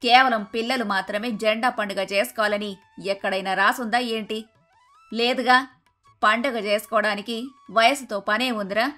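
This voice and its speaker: A woman playing a traditional, domineering and sharp-tongued older mother-in-law in a cartoon moral story. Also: a little high, steady tone, moderate pace. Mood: stern, scolding. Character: bossy, traditional, scolding older mother-in-law and household matriarch. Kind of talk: dramatised moral story dialogue. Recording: music behind the voice.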